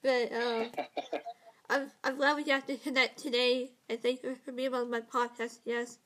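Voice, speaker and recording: A woman hosts a podcast in casual chat, her voice a bit high. She speaks hesitantly, with fillers and small giggles. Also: level tone, normal speed, a bit bright. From California, USA. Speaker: podcast host.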